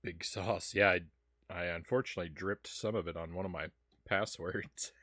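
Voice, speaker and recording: A man's voice: deep voice, steady tone, moderate pace, pushed and bright, no echo, clean studio sound. A man from Kentucky streaming a retro game, reading chat aloud and chatting casually with plenty of ums and uhs. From Kentucky, USA.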